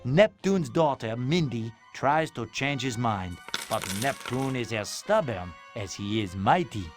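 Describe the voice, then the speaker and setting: A man playing a grandiose, dryly amused storybook narrator for a cartoon game, florid and deliberate. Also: medium pitch, animated, lightly acted. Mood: storytelling, dramatic. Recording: music playing behind the voice.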